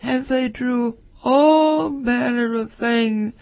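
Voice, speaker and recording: A woman voicing a small, sleepy, sulky male dormouse with a hoarse, feeble storybook voice. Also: a little high, slow, a little mellow, voice pushed up. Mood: drowsy rambling. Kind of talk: acted storybook character voice.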